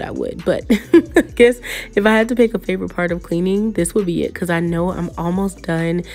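Chatty young woman with a lowish voice. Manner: chatty, relaxed, laughs lightly. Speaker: vlogger. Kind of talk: casual clean-with-me voice-over.

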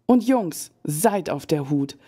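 A woman voicing Shirley, a mysterious older medium in an audio drama, speaking in slow, halting visions. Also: deep voice, expressive, warm tone, husky, exaggerated voice. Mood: knowing. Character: mysterious older female fortune teller. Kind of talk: German fan audio drama.

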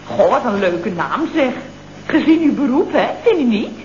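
Feisty, talkative secretary for a radio comedy; high-pitched, on a muffled, noisy old recording. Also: female voice, big pitch swings, normal speed, darkish tone. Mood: chirpy, chatty. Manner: gushing, chatty, full of colloquial tag questions. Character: feisty, chatty, indignant single female office secretary. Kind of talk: 1970s Dutch comedy radio play acting.

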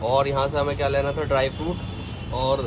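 A man's voice: mid-range voice, little pitch movement, brisk, background noise. A man in his thirties from India, chatting to viewers in a casual travel vlog, filmed on a phone at arm's length in a car, cafe and mall. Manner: chatty, addresses viewers directly. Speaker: vlogger.

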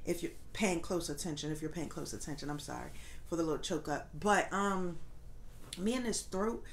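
A woman's voice: lowish voice, normal speed, a little hiss or hum. A young woman vlogging candidly from her living room sofa, her voice congested and a little nasal, rambling with many fillers. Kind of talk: casual pregnancy update.

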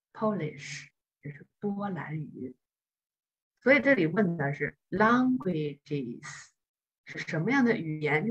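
A woman teaches an online English class, breaking each phrase down patiently. Her voice sits a little low and sounds breathy and husky. The call audio is dull and lo-fi. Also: a bit bright. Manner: encouraging, explains step by step.

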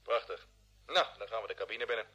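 An actor playing a calm, decisive male expedition leader in a vintage Dutch radio play, giving brisk orders with authority. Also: on the low side, breathy edge. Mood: focused. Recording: old radio recording with faint noise.

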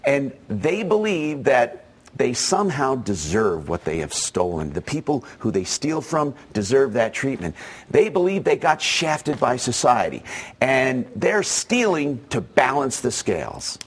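Husky, animated male host giving commentary. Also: medium pitch, unhurried. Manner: emphatic and persuasive, speaking straight to the listener. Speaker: show host. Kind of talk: opinion commentary monologue.

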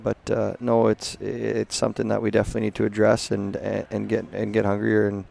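A man in his thirties, a hockey coach giving a halting postgame interview at an indoor rink on a headset mic. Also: lowish voice. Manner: flat, gravelly, halting with many restarts.

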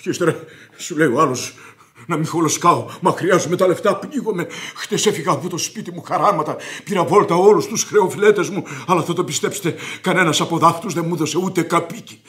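An actor playing a hot-tempered, indignant landowner who rants and repeats himself in a classic radio theatre style. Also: male voice, fairly high pitch, a bit bright, lightly acted. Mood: aggrieved. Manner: exclamatory, repetitive ranting.

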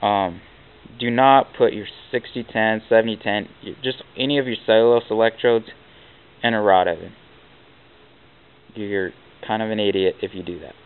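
A man's voice: lowish voice, normal speed, smooth. A man teaching welding basics in a casual, plainspoken way while chalking on a steel plate in a workshop. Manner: plainspoken, blunt, with fillers like "like". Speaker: welding instructor. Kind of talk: casual hands-on tutorial. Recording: tinny lo-fi camera audio with hiss.

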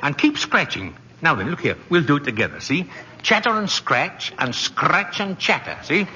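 A man's voice: on the high side, very animated, moderate pace. An actor playing a kindly, playful old man who claims to be Santa, with an avuncular, exclamatory manner, in 1940s radio drama style. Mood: gleeful, coaching. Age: older. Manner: avuncular, exclamatory, with frequent "eh" and "see". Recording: muffled, noisy 1940s radio recording, reverberant.

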